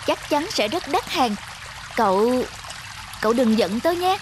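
A woman's voice: high voice, expressive, a little slow, background noise. A woman playing an earnest, helpful young schoolgirl in a cartoon, forthright. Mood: helpful, friendly. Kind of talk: cartoon voice acting. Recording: music loud behind the voice.

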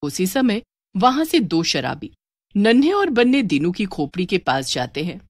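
A woman's voice: medium pitch, very animated, a little slow, darkish tone, dry recording, clean studio sound. A woman narrating a scripted story as its narrator.

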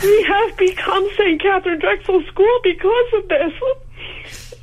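A woman's voice: very high voice, takes it slowly, rough recording. A woman choir director calling a radio show, overwhelmed and close to tears. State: overwhelmed, tearful. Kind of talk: emotional live reaction.

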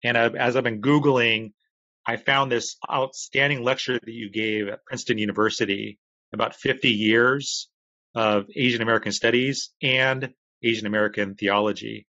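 A man's voice: medium pitch, fairly even intonation, moderate pace, bright, forceful tone, dry recording. A man in his forties hosting a podcast, asking enthusiastic, chatty questions over a video call from home. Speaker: podcast host. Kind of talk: interview questions. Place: home room. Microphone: earphone headset on video call. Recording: dry, dull video call audio.

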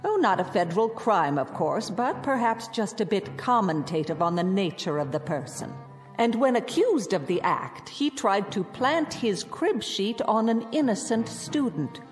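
An actress playing a severe former schoolteacher in a radio drama, crisp and cutting as she lectures. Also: mid-range voice, big pitch swings, measured pace, in a large hall, soft background music. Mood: stern scornful. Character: severe strict former schoolteacher woman, stern and scornful.

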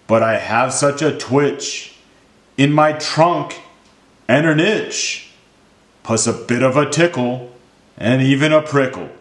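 A man voicing a big, gentle elephant in a rhyming children's story, apologetic and easily panicked. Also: medium pitch, slow pace, long pauses, close to an everyday voice. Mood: sad, apologetic. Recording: roomy, echoing sound.

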